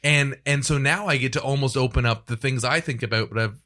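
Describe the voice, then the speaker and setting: A man co-hosts a podcast in a studio. His voice is mid-pitched and bright. He asks long, winding questions and teases the guest in an easy, chatty way. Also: brisk. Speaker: podcast co-host. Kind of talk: casual studio chat.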